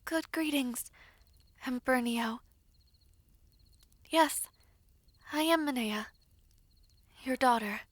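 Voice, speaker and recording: A woman playing a gentle, anxious young princess in an audio roleplay, polite and modest, with a hesitant, soft-spoken delivery. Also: high voice, takes it slowly, long pauses, no echo. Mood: timid, formal.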